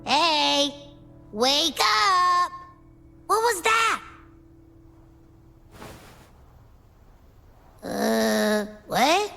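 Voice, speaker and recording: A lively young girl from a video game. Her voice sits high and moves at an unhurried pace, casual and expressive, with light music behind it. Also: creaky, exaggerated voice. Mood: alarmed. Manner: casual, excitable.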